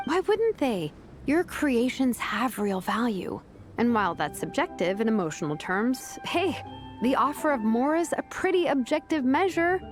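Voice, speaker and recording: A woman voicing a perceptive, caring big-sister figure in a video game, speaking frankly in a measured, reassuring way. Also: fairly high pitch, very animated, faint music behind. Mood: persuasive. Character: perceptive, self-assured, caring cool big-sister type.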